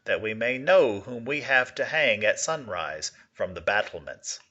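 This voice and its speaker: A man's voice: on the low side, lively intonation, a little slow, bright, forceful tone, heavily acted. A man voicing a furious commanding prince. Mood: enraged. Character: proud enraged middle-aged prince. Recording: dull lo-fi recording.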